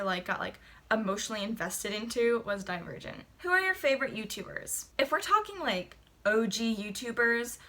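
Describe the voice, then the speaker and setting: A young woman rambles through a casual get-to-know-me vlog, leaning on lots of fillers. Her voice sits a little high and sounds bright and clear. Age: young adult. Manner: chatty, filler-heavy, rambling. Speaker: vlogger.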